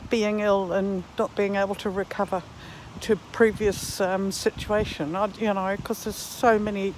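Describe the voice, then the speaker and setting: Female vlogger, 60s, unhurried and musing, recorded walking outside with light background noise. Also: mid-range voice, flat delivery, poor audio. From Netherlands and New Zealand. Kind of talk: reflective walking vlog monologue. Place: outdoors, walking in a park.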